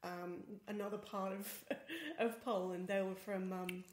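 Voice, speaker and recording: An Australian woman joins a casual home podcast as co-host. She talks softly at a middling pitch with some hesitation, and since she sits to one side of the single microphone, her voice comes through with a roomy echo. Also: a little slow, slight background noise. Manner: soft-spoken, quiet, hesitant with ums. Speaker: co-host, tech journalist. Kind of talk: casual chat. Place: home apartment. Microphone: sharing one mic, sitting off to the side. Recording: roomy, quiet voice off-mic.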